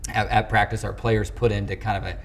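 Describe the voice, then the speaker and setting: A man with a fairly low voice hosts a sports interview in a conversational way. His questions are wordy and tend to ramble. There is clear background noise around him. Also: flat delivery, fast talker. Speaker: sports show host interviewing a coach. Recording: clearly noisy background ambience.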